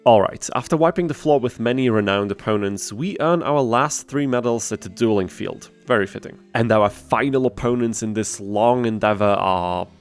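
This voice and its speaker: A man's voice: on the low side, moderate pace, few pauses. A man narrating a gaming challenge video as its host, in a casual scripted style. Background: guitar music.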